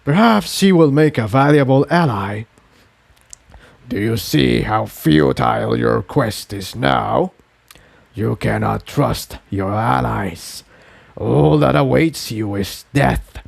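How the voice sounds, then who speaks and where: Man playing a slow, gravelly, menacing dragon tyrant. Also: mid-range voice, animated, no echo, exaggerated voice. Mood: cold menace. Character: huge ancient male dragon tyrant, smug and menacing. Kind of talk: dramatic storybook villain voice.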